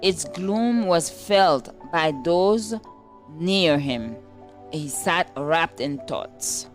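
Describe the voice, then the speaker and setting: Woman, slightly low pitch, very slow pace, scripted devotional reading. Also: animated, faint music behind. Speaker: devotional reader.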